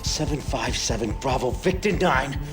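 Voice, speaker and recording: An actor playing a bitter, sneering double agent in a video game cutscene. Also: male voice, mid-range voice, expressive, moderate pace, close to an everyday voice. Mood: panicked, furious. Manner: sneering, swearing. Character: bitter, defiant male double-agent villain. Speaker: enemy agent under interrogation. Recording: music behind the dialogue.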